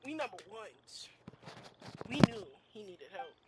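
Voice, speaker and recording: A woman's voice: medium pitch, very animated, a little slow, very noisy. A woman streamer giving casual, reactive game commentary in a slangy, sweary, gushing way. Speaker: gaming streamer.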